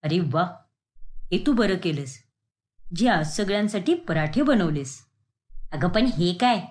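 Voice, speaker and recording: A woman's voice: on the low side, expressive, a little slow, voice pushed down. A woman voicing a scolding older mother-in-law. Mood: sarcastic, scolding. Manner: nagging, scolding, sharp-tongued. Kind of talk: animated moral story dialogue.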